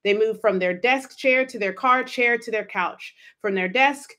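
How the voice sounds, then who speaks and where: A woman in her thirties, a life coach hosting her own podcast, talks through a solo episode in an emphatic, animated way, acting out little inner voices as she goes. She speaks into a studio microphone in a home office. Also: a little high, measured pace. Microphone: studio mic with pop filter, wearing headphones.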